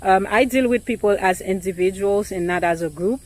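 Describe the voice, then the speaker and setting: A woman realtor with an accent, talking casually and thoughtfully to the camera, pausing often with ums and restarts. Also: mid-range voice, a little mellow.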